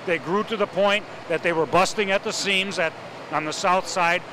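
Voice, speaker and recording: Man mayor, slightly high voice, halting with fillers, noisy outdoors. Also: fairly even intonation. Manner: halting, many uhs and restarts. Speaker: city mayor. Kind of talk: casual interview talk.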